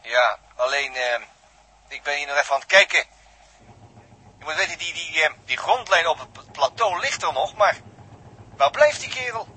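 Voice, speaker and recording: A man's voice: on the high side, animated, normal speed, pauses often, bright, forceful tone, breathy edge, full character voice, low-quality recording. An actor playing an anxious spaceship crewman who hesitates and stammers through his lines in a 1960s radio drama. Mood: uneasy. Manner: hesitant, stammering, restarting sentences.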